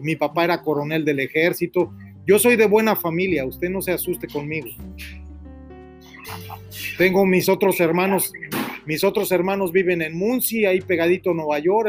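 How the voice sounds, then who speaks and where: High-voiced Mexican man hosting live radio. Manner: earnest, rambling and repetitive. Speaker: radio host. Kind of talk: live radio call-in talk.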